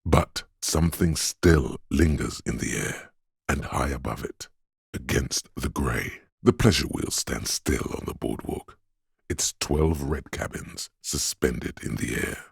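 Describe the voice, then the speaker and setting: An actor voicing a detective's inner sense of sight in a video game, calm and observant, narrating in measured, literary lines with a dry wit. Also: male voice, dry recording, exaggerated voice. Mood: wistful, evocative. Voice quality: very deep, gravelly, husky. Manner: measured, deliberate, dryly wry.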